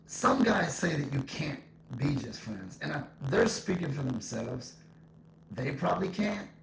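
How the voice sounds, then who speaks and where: A man's voice: medium pitch, vocal fry. A man giving a casual, conversational opinion monologue, repeating his points for emphasis, with an echo effect on his voice. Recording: echoing voice with faint noise.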